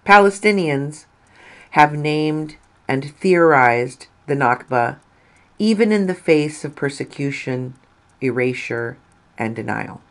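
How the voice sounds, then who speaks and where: A woman narrating a written article in a deliberate, measured way, stressing phrases and letting endings fall. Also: sits low, animated.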